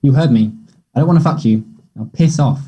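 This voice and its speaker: A conflicted young man in a stage drama played by a man reading the script, husky and mellow. He sounds guarded and guilty, defensive under pressure. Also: medium pitch, fairly quick, close to an everyday voice. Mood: hostile, hurt. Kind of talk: play table read.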